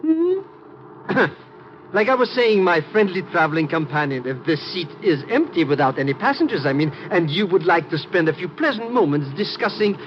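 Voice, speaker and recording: An actor playing a fawning, shady, wordy hustler with an oily manner in a 1950s radio drama. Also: male voice, high voice, sing-song, moderate pace. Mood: ingratiating. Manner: oily, wordy, redundant malapropisms. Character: fawning, shady, garrulous little hustler posing as financier. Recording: noisy muffled 1950s radio recording.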